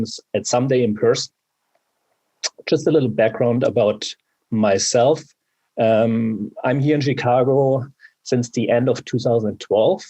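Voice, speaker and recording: A man from Germany with a German accent, introducing himself as a new club member on a video call, polite and halting, with many fillers. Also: medium pitch, mellow, rough recording.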